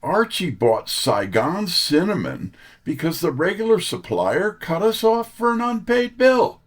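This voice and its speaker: A man's voice: sits high, sing-song, unhurried. A man giving polished, scripted-sounding interview answers as an author, in articulate, dramatic phrases.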